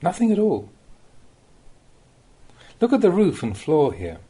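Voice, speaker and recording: A man reading a Buddhist Dhamma talk aloud as a monk, in the measured, plain-spoken way of a teacher, with rhetorical emphasis. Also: on the high side, wildly swooping pitch, warm tone, husky.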